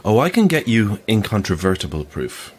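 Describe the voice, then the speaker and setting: An actor in an audio drama playing an earnest, insistent believer in the afterlife, speaking formally and solemnly. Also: male voice, lowish voice, huge pitch swings, moderate pace, slight background noise. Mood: insistent, apologetic. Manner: formal, apologetic, storyteller's solemn phrasing. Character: earnest, insistent male afterlife-society believer telling ghostly stories.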